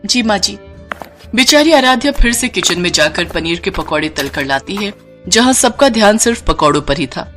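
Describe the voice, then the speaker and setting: Female storybook narrator, slightly low register, scripted delivery, soft music bed. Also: very animated, moderate pace.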